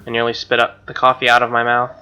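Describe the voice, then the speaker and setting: A man's voice: medium pitch, completely flat delivery, fast talker, pushed and bright, smooth. A young man streaming a game, reading a visual novel aloud with casual reactions, recorded on a lo-fi microphone. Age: young adult. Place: small room indoors. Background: game music.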